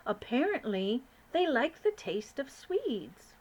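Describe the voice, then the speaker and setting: A woman voicing a commanding, brisk queen who speaks in a crisp, imperious way. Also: high voice, sing-song, darkish tone, pitched above the performer's own voice, exaggerated voice.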